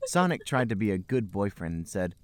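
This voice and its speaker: A man with a low voice and very lively pitch reads a story aloud as a podcast host. His delivery is mock-serious and comic, and the sound is dry and close. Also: brisk, no echo. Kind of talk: comic dramatic reading of fan fiction.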